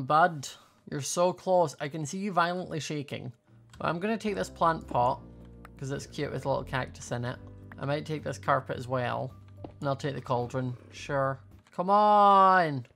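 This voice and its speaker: A woman's voice: sits low, gravelly, a bit bright. A young woman giving casual, playful gameplay commentary, thinking aloud as she hosts a gaming video. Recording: faint music behind voice. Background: faint music.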